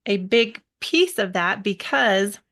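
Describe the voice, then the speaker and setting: A woman's voice: medium pitch, animated, slow pace, fairly bright tone. A middle-aged American woman with a mid-range voice speaks as a podcast guest. She explains things steadily and patiently, now and then restarting a sentence. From United States. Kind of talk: casual interview chat.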